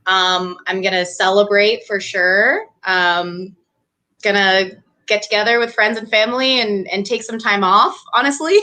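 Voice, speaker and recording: A woman's voice: medium pitch, fairly even intonation, unhurried, bright, forceful tone. A young woman from New Hampshire, a doctoral student talking casually as an interview guest, chatty with frequent fillers and laughs. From New Hampshire, USA. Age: young adult.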